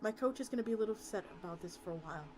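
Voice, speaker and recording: A woman's voice: medium pitch, expressive, racing, lightly acted. A woman voicing a practical, sympathetic woman from a search team in a story, reasoning tactfully. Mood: conciliatory. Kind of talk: character reading of a story. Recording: loud music behind the voice.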